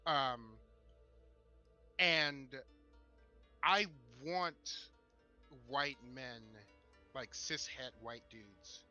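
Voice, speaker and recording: Very bright-voiced man, halting delivery. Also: medium pitch. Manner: halting, thoughtful phrasing. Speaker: podcast co-host. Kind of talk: casual discussion. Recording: dull lo-fi mic, music behind.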